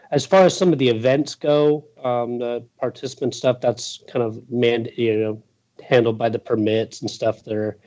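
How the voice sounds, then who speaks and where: A man's voice: mid-range voice, a little mellow, dull audio. A man chatting casually and informally as an interview guest, rambling a little as he goes. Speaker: guest, boating news journalist. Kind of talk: casual chat.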